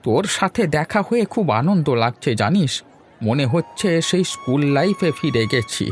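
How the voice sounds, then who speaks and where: A man's voice: a little high, very animated, normal speed, slight background noise. A man voicing a poor, contented old village man in a cartoon, humble, earnest and familiar. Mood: glad, amused. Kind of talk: Bengali cartoon voice acting.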